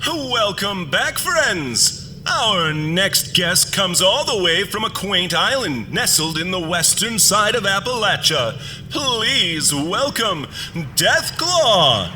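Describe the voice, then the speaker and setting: A man's voice: high voice, noisy background, full character voice. A man playing an unhinged, grandiose creature villain who hosts a show, acted melodramatically for an audio drama. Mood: showman cheer. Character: theatrical cryptid villain, unhinged show host obsessed with art. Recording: slightly distant, loud music behind.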